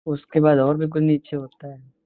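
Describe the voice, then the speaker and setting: A man's voice: fairly high pitch, flat delivery, normal speed, no room sound. A man chatting casually and intimately on a phone call, in a coaxing, teasing manner. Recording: tinny, band-limited phone call recording.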